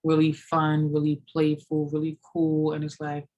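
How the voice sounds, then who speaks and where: A woman's voice: sits low, completely flat delivery, moderate pace, dark tone, lo-fi sound, slight background noise. A woman in her late thirties answering viewer questions in a loose, rambling chat, full of "you know" and "like". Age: 30s. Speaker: fitness vlogger. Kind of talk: casual Q&A chat.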